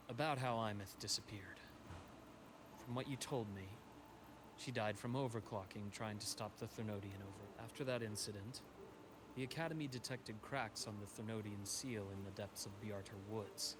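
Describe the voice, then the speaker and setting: A man voicing a gentle, reflective doctor in a video game story, speaking in a measured, reassuring and philosophical way. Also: on the low side, background noise, lightly acted. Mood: serious. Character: gentle, reflective male doctor consoling an old friend. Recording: loud music behind the voice. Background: loud game music.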